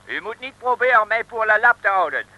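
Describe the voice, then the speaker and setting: A man's voice: high-pitched, animated, fairly quick, sharp tone, muffled recording, heavily acted. An actor playing an officious, fussy French motorcycle policeman with a French accent in an old comic radio serial. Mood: officious, indignant. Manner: officious, fussy, rattling off demands. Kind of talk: 1950s-60s comic radio serial acting. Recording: noisy old radio recording.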